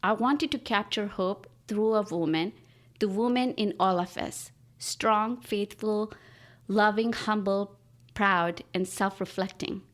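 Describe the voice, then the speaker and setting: A woman's voice: medium pitch, slow. A woman artist whose first language is Urdu, speaking earnestly in a podcast interview and reading her own writing aloud. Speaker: artist guest.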